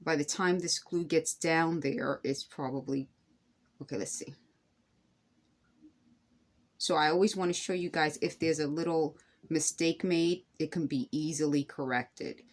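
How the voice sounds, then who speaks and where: A woman with a slightly low voice talks viewers through a hands-on craft. She is casual and chatty, explaining step by step and wandering a bit as she goes. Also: steady tone, a little slow. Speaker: craft tutorial host.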